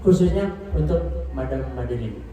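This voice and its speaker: A man's voice: medium pitch, lively intonation, normal speed, dark tone, faint music behind. A man from East Java introducing himself at a gathering in a joking, chatty way. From Malang, East Java. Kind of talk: casual self-introduction. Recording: echoey, from far off.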